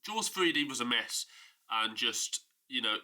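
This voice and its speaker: A man's voice: medium pitch, expressive, measured pace, sharp tone, poor audio. A man with a stuffy nose from a cold, casually and emphatically ranting about a film in a vlog. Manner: exasperated, emphatic, mocking. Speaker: film review YouTuber.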